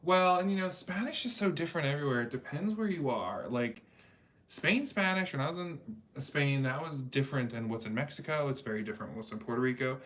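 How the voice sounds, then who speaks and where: A man's voice: medium pitch, animated, fairly quick, a bit bright. A man hosting a casual livestream chat, rambling in a talkative way with many fillers. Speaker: livestream host reading chat.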